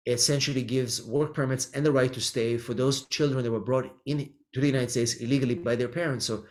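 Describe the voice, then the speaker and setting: Israeli-born man answering at a measured pace. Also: mid-range voice, fairly even intonation. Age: middle-aged. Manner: thoughtful, with frequent ums and restarts. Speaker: immigration attorney, guest. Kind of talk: conversational interview answers.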